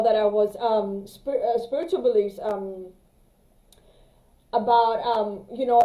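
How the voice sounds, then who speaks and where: A woman's voice: on the high side, mellow. A woman from Greece chatting casually about spirituality as a co-host, hesitant, with many fillers and restarts. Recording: echoey room.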